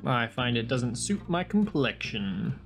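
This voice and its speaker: Woman putting on a very low, deadpan frog detective. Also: measured pace, faint music behind, full character voice. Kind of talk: video game character read-aloud.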